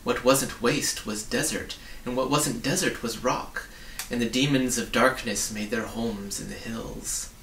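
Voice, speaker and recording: Creaky-voiced man reading a storybook. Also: mid-range voice, steady tone, unhurried, hardly any pauses, a bit bright. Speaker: reader. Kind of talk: reading a children's storybook aloud. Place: small indoor study with bookshelves. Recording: roomy, distant mic, faint hiss.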